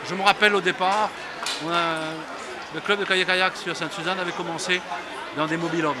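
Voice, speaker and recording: Male local official, spontaneous emphatic interview, rapid delivery, heavy crowd noise. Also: sits high, little pitch movement, loud music behind the voice. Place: outdoors at a public event. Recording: outdoor crowd noise behind.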